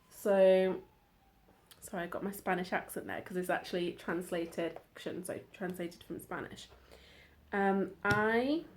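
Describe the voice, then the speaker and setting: A woman from the United Kingdom, a book vlogger in casual chat, talking through her finds in a chatty, rambling way full of side notes and fillers. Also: fairly low pitch.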